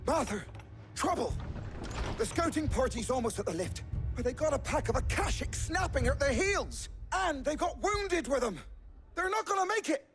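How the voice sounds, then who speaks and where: Frantic high-pitched messenger. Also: male voice, lively intonation, moderate pace. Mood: panicked. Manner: frantic shouting, informal grammar. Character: panicked messenger raising the alarm. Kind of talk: dramatic cutscene acting. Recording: loud music behind the voice.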